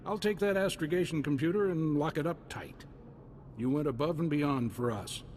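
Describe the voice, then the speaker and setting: A man's voice: fairly high pitch, normal speed, a little mellow, natural voice. Man as a hearty soldier, long reverb. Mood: grateful, hearty. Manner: folksy, emphatic, commanding. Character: gruff, hearty, grateful Republic military officer. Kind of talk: scripted video game dialogue. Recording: long reverb, faint noise and ambience.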